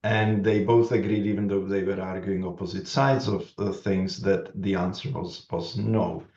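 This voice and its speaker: A man's voice: low voice, fairly even intonation, darkish tone. Czech-accented man, echoey conference room. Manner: thoughtful, halting, many restarts. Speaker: conference panelist. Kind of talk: panel discussion remarks. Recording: echoey room, dull lo-fi sound.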